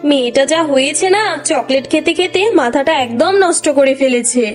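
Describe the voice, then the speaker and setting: A woman's voice: sits high, brisk, soft background music, close to an everyday voice. A woman voicing a strict but loving fox mother in a children's cartoon, with an exclaiming manner. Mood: doubtful, surprised. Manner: scolding, exclaiming. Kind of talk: children's cartoon story acting.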